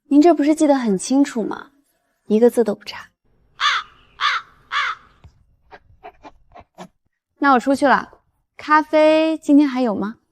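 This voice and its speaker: A woman playing a smitten, easily flustered young law-firm worker, earnest and softly probing in acted drama dialogue and inner monologue. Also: high-pitched, moderate pace, no echo, natural voice. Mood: gently probing.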